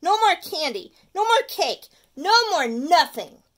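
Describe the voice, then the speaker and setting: A woman voicing a young boy with a sweet tooth, high and pleading. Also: expressive, slow, heavily acted. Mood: determined. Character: whiny sugar-loving young boy.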